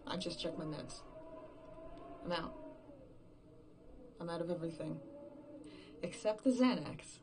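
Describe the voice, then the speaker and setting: A woman acting an exhausted, frightened survivor in a horror audio drama monologue, haltingly thinking aloud and repeating herself. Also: fairly low pitch, background noise, lightly acted. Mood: bleak, resigned. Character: exhausted, frightened woman game writer, alone after everyone vanished.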